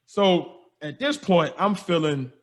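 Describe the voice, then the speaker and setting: Animated young man with a high voice. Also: slow. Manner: lively, acts out dialogue in quotes, slangy. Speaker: podcast host telling a story. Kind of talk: animated storytelling.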